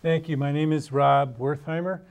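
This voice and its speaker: A middle-aged man from New York City introducing himself on a panel in a measured, plain-spoken way. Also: a little high, steady tone, vocal fry, a little mellow. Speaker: lawyer.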